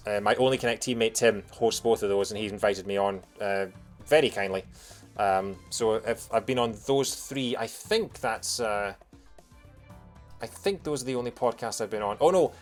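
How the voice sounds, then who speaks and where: A man from Lanarkshire with a soft Scottish accent, doing casual, jokey live gameplay commentary as a streamer. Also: on the low side. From Bothwell, Lanarkshire, Scotland. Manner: jokey, punning, animated when reacting to the game. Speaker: video game streamer. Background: game music.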